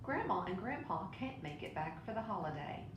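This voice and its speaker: Lady doing a kind mom turtle in a kids book, echoey w rumbly noise. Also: sing-song, normal speed, natural voice. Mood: disappointed. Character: kindly mother turtle, gentle and practical. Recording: roomy echo, clear low rumbling noise.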